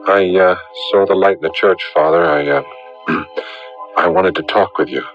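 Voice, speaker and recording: A man's voice: deep voice, big pitch swings, unhurried, gravelly, music in the background, full character voice. An actor playing a troubled, earnest young engaged man who speaks hesitantly in a radio drama. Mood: uneasy. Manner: hesitant, stammering. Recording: dull vintage radio recording.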